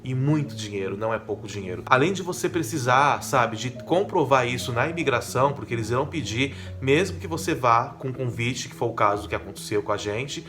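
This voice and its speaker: A man's voice: medium pitch, lively intonation, a little fast, hardly any pauses. A man from São Paulo with a paulistano accent, chatting casually to his viewers as a vlogger and often checking in with tag questions. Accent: São Paulo Brazilian Portuguese. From São Paulo, Brazil. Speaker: vlogger on living in Portugal. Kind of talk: casual talk to camera. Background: soft guitar music.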